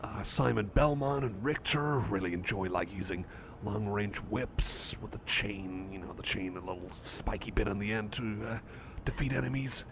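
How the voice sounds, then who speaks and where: Shy, stammering low-voiced cyborg buddy played by a man. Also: normal speed, background noise, natural voice. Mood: chatty, apologetic. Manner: hesitant, stammering, rambling, apologetic, repeats himself. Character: shy, friendly male cyborg chum, eager but self-doubting. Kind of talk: ASMR personal-attention roleplay, soft one-sided conversation.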